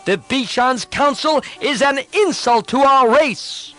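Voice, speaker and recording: A man's voice: extremely high pitch, expressive, slow pace, a bit bright, faint music behind, voice pushed up, heavily acted. A man voicing an arrogant, hot-tempered young prince who boasts indignantly.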